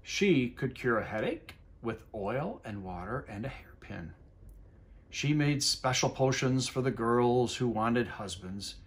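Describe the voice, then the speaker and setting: A man in his fifties reading a picture book aloud for children, expressive and pausing to explain things. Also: medium pitch. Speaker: school superintendent reading a storybook. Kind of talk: children's story time read-aloud. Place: living room armchair by a fireplace, indoors.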